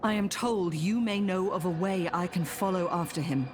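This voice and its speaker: A woman's voice: on the low side, moderate pace, music in the background, close to an everyday voice. A woman voicing a determined, grim necromancer adventurer in a fantasy game, speaking formally and with earnest restraint. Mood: urgent, earnest. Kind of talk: fantasy video game acting.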